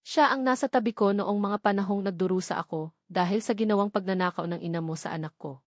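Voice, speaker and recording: A woman's voice: mid-range voice, fast talker, dry recording, clean studio sound, natural voice. A woman with a Filipino accent voicing an anguished, stubborn young mother in a novel reading. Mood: defensive, annoyed. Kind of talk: dramatized novel dialogue.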